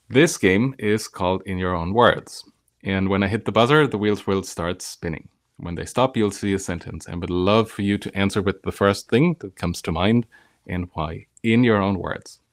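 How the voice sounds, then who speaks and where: Upbeat low-voiced male live show host. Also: measured pace, no echo, studio quality. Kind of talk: live show hosting, casual.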